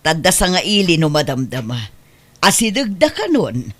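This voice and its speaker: A woman's voice: on the low side, wildly swooping pitch, normal speed, a bit bright, exaggerated voice. A woman with a Filipino accent playing a well-off, commanding older wife and mistress of the house in an audio comedy drama. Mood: bossy, firm. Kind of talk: Ilocano comedy radio-style drama acting.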